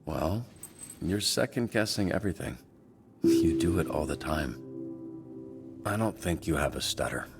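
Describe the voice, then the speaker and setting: An actor playing a gruff, blunt, profane middle-aged mentor in a video game. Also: male voice, a bass voice, expressive, a little slow, long pauses, exaggerated voice. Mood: blunt encouragement. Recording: game audio with music behind.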